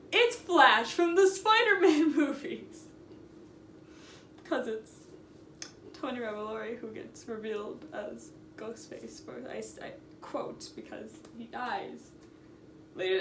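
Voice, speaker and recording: High-voiced young woman telling stories, echoey room. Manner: animated, sarcastic, stretches words for emphasis. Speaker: storytime vlogger. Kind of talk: casual, chatty anecdote storytelling. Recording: roomy echo, noticeable background noise.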